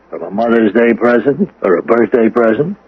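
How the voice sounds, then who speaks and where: An actor playing a shrewd police lieutenant in an old-time radio drama, casually probing with sly, deliberate hesitations. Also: male voice, medium pitch, breathy edge, natural voice. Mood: sly, musing. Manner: hesitant, folksy, slyly deliberate. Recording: muffled vintage radio broadcast recording.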